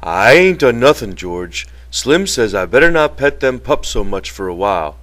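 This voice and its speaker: A man's voice: mid-range voice, sing-song, moderate pace, a bit bright. A man voicing a childlike, simple-minded, earnest big man in an audiobook, with artless phrasing. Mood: defensive.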